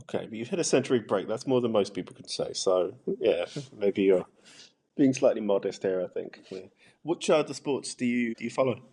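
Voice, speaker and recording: A man's voice: lowish voice, animated, a little fast, creaky, dark tone, slightly rough. A man hosting a podcast, putting casual, conversational questions to a guest. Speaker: podcast host, interviewer.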